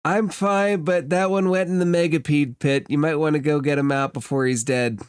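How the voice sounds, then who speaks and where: A man voicing a small, matter-of-fact hamster creature in a tabletop game, with a deadpan delivery. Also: on the high side, normal speed, smooth, no echo, clean studio sound, lightly acted. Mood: matter-of-fact warning.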